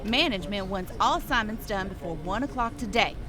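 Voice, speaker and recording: A woman's voice: medium pitch, expressive, fairly quick, lightly acted. Actress for female team leader in radio play: no-nonsense, commanding, music underneath. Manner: authoritative, no-nonsense. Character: brisk, commanding female head veterinarian.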